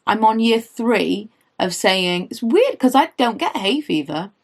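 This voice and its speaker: A woman in her late thirties, slightly hoarse from losing her voice, chatting through her makeup favourites and wandering off on tangents. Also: a little high, unhurried. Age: late 30s. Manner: rambling, goes off on tangents; animated, rises when indignant. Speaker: beauty vlogger.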